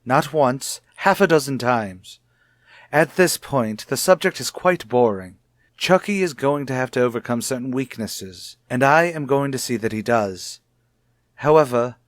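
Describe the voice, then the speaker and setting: An actor playing a cold, short-tempered, domineering husband in a 1950s radio soap, formal and condescending. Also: male voice, mid-range voice, animated, moderate pace, dry recording, studio quality, lightly acted. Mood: irritated, dismissive. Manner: formal, condescending, clipped disapproval.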